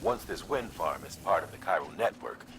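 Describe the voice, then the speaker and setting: A man acting a steady, earnest mission handler who gives measured briefings in a video game. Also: low-pitched, vocal fry, full character voice. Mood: approving, instructive. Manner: measured, explanatory.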